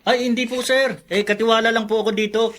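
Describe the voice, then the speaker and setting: Radio drama bit part: deferential young houseboy, meek and hesitant, high clear-cutting tone. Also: male voice, little pitch movement, a little fast, fairly bright tone. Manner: polite, hesitant.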